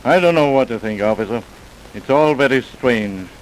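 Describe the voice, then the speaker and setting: A man's voice: medium pitch, animated, moderate pace, a little hiss or hum, lightly acted, poor audio. Man playing a musing, puzzled laboratory man. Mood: puzzled, thoughtful. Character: thoughtful, puzzled male laboratory worker. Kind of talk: old radio drama acting. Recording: old radio recording.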